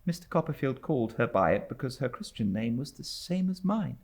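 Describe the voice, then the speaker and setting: A man's voice: medium pitch, big pitch swings, fairly quick, vocal fry, darkish tone, pitched above the performer's own voice. A man voicing a timid, fragile young widowed mother in a storybook reading, with a faltering, plaintive and helpless manner.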